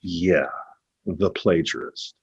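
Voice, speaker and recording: A man playing a probing, cynical former literary agent in a naturalistic two-man play, pointed and halting, with a husky voice. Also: deep voice, lo-fi sound, heavily acted. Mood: defensive, earnest. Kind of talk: naturalistic stage dialogue.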